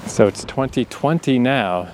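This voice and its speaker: A man's voice: mid-range voice, expressive, a little slow, a little mellow, a little hiss or hum. A man in his thirties with a slight Atlantic Canadian accent, hosting a podcast as a rambling, candid monologue outdoors, swearing freely and laughing often. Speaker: podcast host. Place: outdoors in a breezy urban area.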